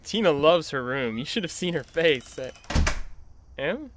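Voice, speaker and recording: A man's voice: fairly high pitch, animated, unhurried, a bit bright, a little hiss or hum. A man playing an easygoing, affectionate husband and father in an amateur radio drama. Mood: reassuring.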